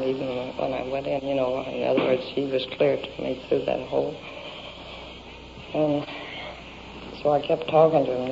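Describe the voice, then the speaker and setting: A woman's voice: rumbling low, creaky, dark tone. A woman giving a plain-spoken, rambling account as a witness in a police interview. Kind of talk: recounting events in a police interview. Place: indoors, in a home. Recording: muffled, noisy 1950s field radio recording.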